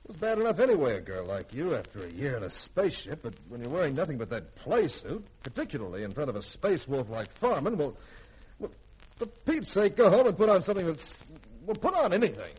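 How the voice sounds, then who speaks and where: Starship commander: stern, blustering, husky and very animated, 1950s radio drama delivery. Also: male voice, fairly high pitch, brisk, low-quality recording. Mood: flustered, exasperated. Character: stern, exasperated spaceship commander, flustered and authoritative.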